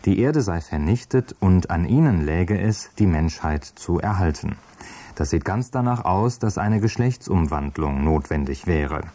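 Deep, mellow male radio announcer. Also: animated, a little slow. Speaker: radio announcer introducing a play. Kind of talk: scripted narration.

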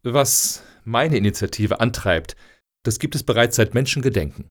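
A male actor as a sly provocateur in a radio satire. His mid-pitched voice swings widely in melody while he needles others dryly. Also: lively intonation, normal speed, close to an everyday voice. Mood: self-assured. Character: wry, provocative male applicant for a foundation grant. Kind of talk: satirical radio play dialogue.

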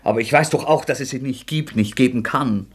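An actor playing a tormented, defiant young man confessing to murder in an agitated, anguished German radio drama performance, with a husky voice that often breaks. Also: mid-range voice, lightly acted. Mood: tormented, scornful. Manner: agitated, anguished, halting outbursts.

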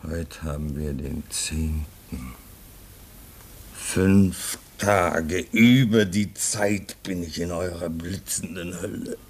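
A man's voice: deep voice, breathy edge, heavily acted. An actor playing a weary, homesick man stuck at a polar station, speaking in a brooding, bitter way. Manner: brooding, bitter, slow and drawn out. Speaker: radio drama actor. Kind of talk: 1950s-style German radio play acting.

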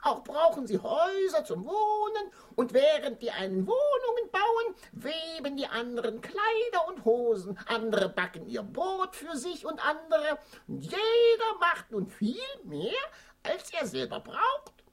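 An actress playing a clever, lecturing parrot in a children's radio play, theatrical and sing-song. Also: female voice, high voice, very animated, measured pace. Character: clever, lecturing female parrot, animated storybook companion.

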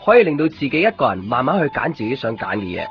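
A man's voice: mid-range voice, sing-song, fast. An actor playing an introspective, solitary young man with a Hong Kong Cantonese accent, wry and understated in a radio drama. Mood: wry, musing. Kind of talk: radio drama monologue and dialogue. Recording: dull radio broadcast with music underneath.

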